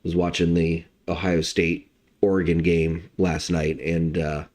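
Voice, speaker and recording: A man hosting a casual live stream of market commentary as a trading analyst. His voice is slightly hoarse, and he talks in a relaxed way with many uh's and um's. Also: low-pitched, a little slow. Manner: relaxed, lots of fillers like uh and um.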